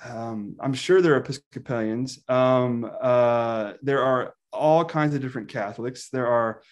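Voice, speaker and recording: A man in his thirties from Texas, a scholar talking as a guest on a video call, animated, laughing often and restarting his sentences. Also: medium pitch, a little slow, lo-fi sound. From Texas, United States. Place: indoor room with plain wall. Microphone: video call webcam.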